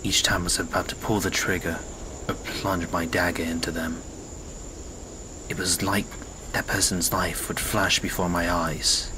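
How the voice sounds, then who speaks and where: An actor playing a brooding reformed assassin who leads a resistance, speaking in a grave, world-weary, measured way in an audio drama. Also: male voice, low-pitched, flat delivery, noisy background. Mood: haunted, confessional.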